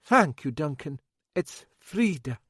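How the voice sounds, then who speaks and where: A man's voice: a little high, very animated, slow, fairly bright tone, husky, no echo, clean studio sound, voice pushed up, exaggerated voice. A man voicing a timid older Scottish woman, a fretful cook, with a nervous, fussy manner and a Scottish accent. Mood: worried.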